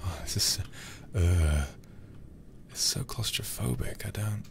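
Low, gravelly man murmuring on stream. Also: expressive, takes it slowly, background noise. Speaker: livestreamer playing a horror game. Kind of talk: ASMR gaming stream, soft-spoken with whispers. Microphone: close streaming microphone.

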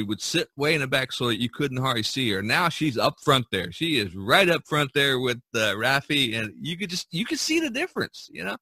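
Bright-voiced man hosting a podcast. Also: medium pitch, breathy edge, dry recording. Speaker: podcast host. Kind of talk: casual podcast conversation.

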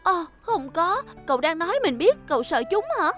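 A young cartoon mechanic, capable and playfully teasing, with a southern Vietnamese accent. Her very high voice swoops expressively over clear music. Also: sing-song, normal speed, fairly bright tone, exaggerated voice. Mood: amused. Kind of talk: dubbed children's cartoon acting.

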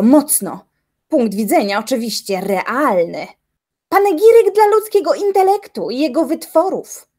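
An actress playing an obsessive, indignant young reclusive philosopher in a radio drama, brooding aloud with dramatic deliberation. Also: female voice, a little high, mellow, breathy edge. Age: young adult. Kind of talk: theatrical radio drama monologue. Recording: music behind the voice.

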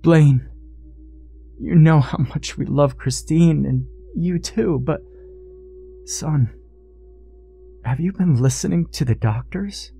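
A man voicing a level-headed older father-in-law in a story, pleading gravely and earnestly. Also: fairly high pitch, slow pace, pauses often, warm tone, pitched above the performer's own voice, full character voice.

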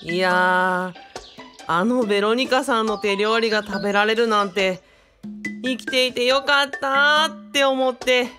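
A woman's voice: a little high, unhurried, over music, natural voice. A woman playing a polite, easily impressed male companion in a radio drama, reacting with wonder. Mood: wistful, impressed. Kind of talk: hobby radio drama dialogue.

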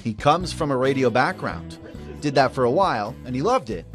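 A man in his thirties narrating a local TV news feature in a bright, lively reporter delivery. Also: mid-range voice, brisk, faint music behind.

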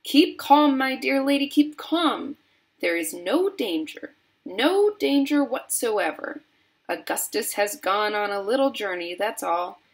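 A woman voicing an excitable, breezy male chocolate factory owner in a storybook, emphatic and dismissive of worries. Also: mid-range voice, measured pace, close to an everyday voice. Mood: breezy reassurance.

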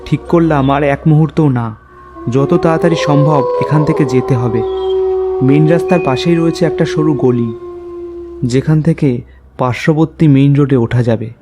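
A man playing a courteous, uneasy journalist who narrates his own horror story in an animated tale. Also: a little high, normal speed, close to an everyday voice. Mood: uneasy suspense. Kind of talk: first-person horror story narration and dialogue. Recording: faint music behind the voice.

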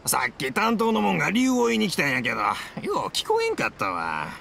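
A man's voice: sits high, wildly swooping pitch, quick pace, gravelly, sharp tone, a little hiss or hum, exaggerated voice. A man playing an easygoing, hard-of-hearing old father in an anime-style game, with folksy Kansai dialect. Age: older.

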